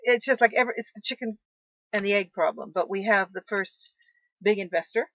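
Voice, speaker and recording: A woman guest talking about her screenplay and novel in an enthusiastic, animated way. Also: medium pitch, a little fast, no echo. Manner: enthusiastic, talks with frequent restarts. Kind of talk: animated interview conversation. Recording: dull lo-fi dry sound.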